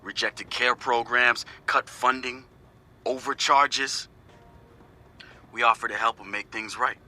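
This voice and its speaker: An actor playing a confident young hacker activist, recording a casual, slangy, wry audio log for a video game. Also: male voice, medium pitch, steady tone, slow, bright, forceful tone. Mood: earnest. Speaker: hacker group leader narrating audio logs.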